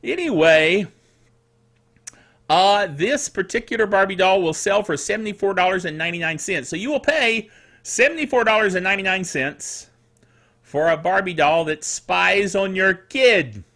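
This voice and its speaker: A middle-aged man hosting a solo tech news show in a chatty, playful and quirky way, with theatrical asides and a slightly dry, rough throat. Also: high voice, expressive, moderate pace, a bit bright.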